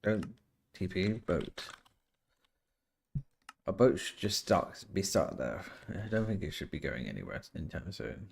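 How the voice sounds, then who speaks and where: A man's voice: low voice, big pitch swings, measured pace, creaky, long pauses, a little mellow, slight background noise. A man streaming a survival game, chatting to viewers and thinking aloud as he plays, sounding a bit nasal and sniffly from a cold. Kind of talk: casual gameplay commentary.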